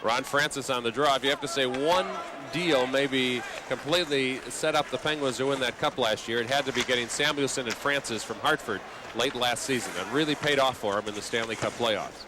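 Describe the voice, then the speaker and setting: A man's voice: mid-range voice, brisk, few pauses. A man doing live radio play-by-play of a hockey game, wordy and wry, slipping conversational asides between the calls. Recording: live arena broadcast with background noise.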